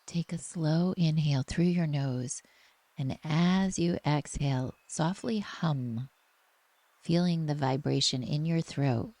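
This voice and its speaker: A woman with a soft, breathy voice gently leading a guided relaxation meditation in a soothing, unhurried manner. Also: sits low, no echo.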